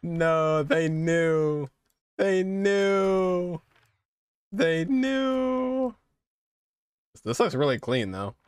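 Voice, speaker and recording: A young man chatting casually and excitedly as a game streamer, exclaiming in slang as he reacts. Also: a little high, extremely slow, pauses often, clear, resonant tone, dry recording. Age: young adult. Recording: game music behind. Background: video game music.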